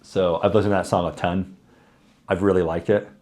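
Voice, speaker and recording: A man in his thirties with a raspy voice, candidly answering interview questions in a reflective, conversational way with personal stories. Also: low-pitched, flat delivery, a little fast, a little mellow. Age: 30s.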